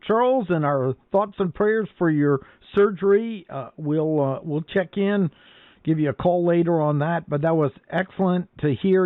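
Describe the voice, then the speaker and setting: Higher-pitched man, muffled radio link. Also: no echo. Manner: enthusiastic. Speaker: amateur radio net host. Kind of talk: hosting a radio net, handing over to callers.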